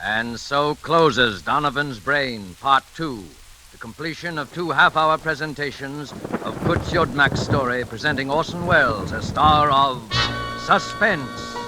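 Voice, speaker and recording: A male 1940s radio announcer with a mid-pitched voice and lively, varied intonation. He reads formally and deliberately over music in a vintage broadcast. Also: rough recording.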